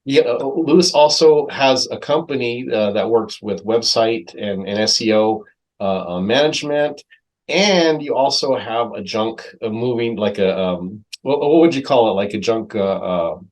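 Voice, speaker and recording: A man hosting a podcast interview, upbeat and halting, often searching for words. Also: mid-range voice, expressive. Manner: halting, many fillers and restarts, enthusiastic. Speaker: podcast host.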